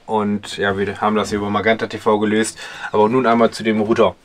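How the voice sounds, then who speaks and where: A man's voice: lowish voice, monotone, fast. A man in his thirties chatting casually while reviewing gear inside a camper van, explaining with lots of fillers. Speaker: vlogger. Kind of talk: casual product review vlog.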